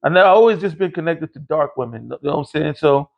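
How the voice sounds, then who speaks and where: A man's voice: fairly high pitch, animated, quick pace, vocal fry, dull audio. A man in his late forties hosting a podcast, telling a long, rambling, profane story in casual chat. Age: 40s. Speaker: podcast host.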